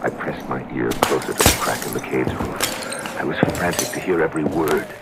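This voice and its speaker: Brooding radio-drama confessor, extremely low voice, haunted measured telling, heavily noisy old broadcast. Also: male voice, vocal fry. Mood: frantic longing. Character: brooding, guilt-haunted man confessing to his psychiatrist.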